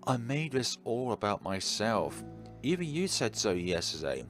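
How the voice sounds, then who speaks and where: A man voicing an earnest teenage girl club president in a visual novel, anxious and quick to blame herself. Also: on the low side, big pitch swings, over music. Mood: guilty. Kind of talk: visual novel character read-aloud.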